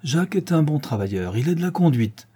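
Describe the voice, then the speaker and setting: A man's voice: a little high, very animated, a little fast, mellow, slightly rough, voice pushed up. A man voicing a plain-spoken old river fisherman in a homely, chatty way. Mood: approving.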